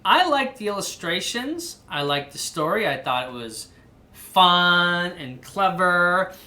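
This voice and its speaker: A woman reading a children's storybook aloud in an animated style. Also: lowish voice, slow. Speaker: storybook reader.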